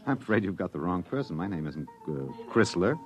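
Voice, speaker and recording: An American man acting in a 1950s radio drama as a nervous husband on the run who feigns calm and reassures in clipped, urgent phrases. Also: low-pitched, brisk, over music. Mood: indignant, guarded. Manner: reassuring but tense, clipped urging.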